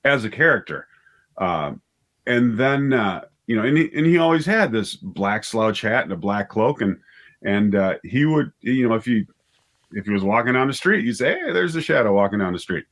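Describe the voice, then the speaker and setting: Low-voiced middle-aged man, rambling enthusiast. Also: expressive, moderate pace, a bit bright. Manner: rambling, enthusiastic, repeats words as he thinks aloud. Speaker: interview guest, audio drama writer and director. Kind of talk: casual interview conversation.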